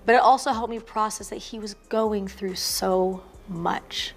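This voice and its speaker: A woman's voice: medium pitch, fairly even intonation, unhurried, creaky. A woman giving a reflective, personal account as an interviewee.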